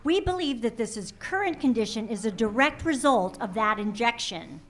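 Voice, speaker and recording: Casting: woman as a proper, patient young assistant, high and lively. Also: moderate pace, slight background noise, close to an everyday voice. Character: prim young female assistant, secretive.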